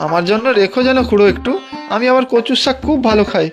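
A woman's voice: fairly high pitch, fast, highs cut off, lightly acted. A woman voicing a simple, forgetful henpecked village husband in a cartoon, whiny and exclamatory. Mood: eager. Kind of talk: animated cartoon dialogue. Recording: music clearly behind the voice.

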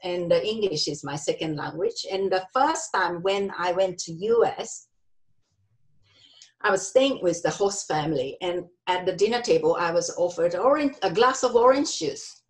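A woman's voice: on the low side, animated, normal speed. A middle-aged woman from Japan with a Japanese accent, presenting a workshop over a video call and phrasing things carefully, with frequent ums and restarts. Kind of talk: online workshop talk with slides.

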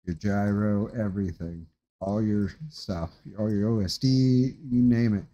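Man, deep dark mellow voice, dull recording, informal tutorial host, halting delivery. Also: steady tone. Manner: rambling, halting, informal explanations. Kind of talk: casual screen-share tutorial. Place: small room indoors at a desk.

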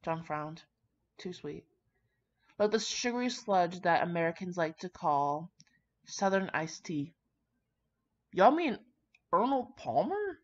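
A woman's voice: on the low side, big pitch swings, slow, pauses often. A woman reading aloud casually with chatty asides, irreverent, slangy and sweary in her manner. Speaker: host reading fan fiction aloud. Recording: dull, lo-fi recording.

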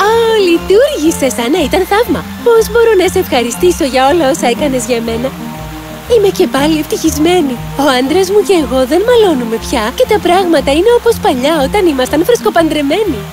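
A woman playing a quick-tempered but tender-hearted young wife in a fairy tale, with emotive, expressive delivery. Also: high-pitched, over music, voice pushed up. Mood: joyful, grateful. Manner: emotive, expressive storybook acting.

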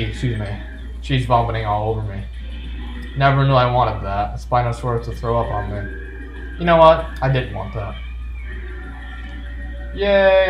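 A man's voice: mid-range voice, roomy sound, rough recording. A man giving casual video game commentary with jokey, playfully sarcastic asides. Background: video game music.

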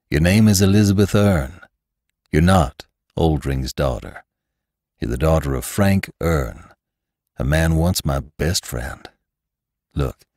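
A man voicing a gentle older Western gunman who speaks slowly with a soft, rich drawl. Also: very deep voice, creaky, no echo, studio quality, pitched below the performer's own voice, exaggerated voice. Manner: slow, tender, folksy.

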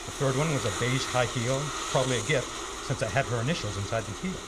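Casting: actor as a middle-aged, brusque male homicide cop for vintage radio, average pitch, heavy background noise. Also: fast, close to an everyday voice. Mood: matter-of-fact. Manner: brusque, matter-of-fact. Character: dismissive, overworked male police detective. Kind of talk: 1940s radio detective drama acting. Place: live on a stage before a curtain. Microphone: stand microphone.

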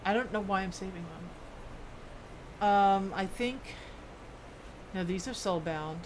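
A woman's voice: medium pitch, a little slow. Musing woman streamer over noisy game ambience. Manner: musing, half talking to herself. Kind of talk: casual live gameplay commentary.

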